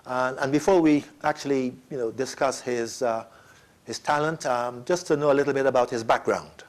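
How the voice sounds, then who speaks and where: Hesitant male interview host. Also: mid-range voice. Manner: hesitant, halting questions.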